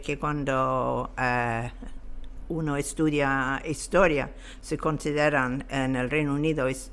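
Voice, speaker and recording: A woman's voice: low-pitched, fairly bright tone. An elderly woman speaking as an interview guest, reflective and careful, often searching for her words. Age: 70s or older. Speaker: interview guest, expert on local British community.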